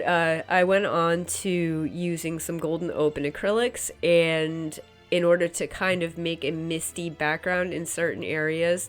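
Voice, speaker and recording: A woman's voice: low voice, clear, resonant tone. A woman with a head cold, sounding stuffy and nasal, chatting casually through a painting tutorial voice-over and hedging with "kind of" and "um". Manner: relaxed and chatty, hedges with frequent "kind of" and "um". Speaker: painter demonstrating her work. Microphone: voice-over recorded separately from the footage.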